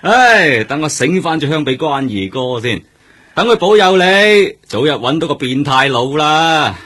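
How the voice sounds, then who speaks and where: A man's voice: fairly high pitch, big pitch swings, unhurried, a bit bright, breathy edge, full character voice. An actor playing a seasoned older detective in a radio drama, a wry, fatherly colleague who talks colloquially and with world-weary sighs. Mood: world-weary, teasing. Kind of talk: Cantonese radio drama acting.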